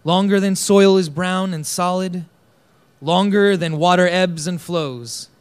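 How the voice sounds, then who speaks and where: A man giving a slow, declamatory recitation of a poem. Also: sits high, clean tone. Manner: measured, declamatory phrasing. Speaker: poetry reader. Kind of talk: slow dramatic poetry recitation.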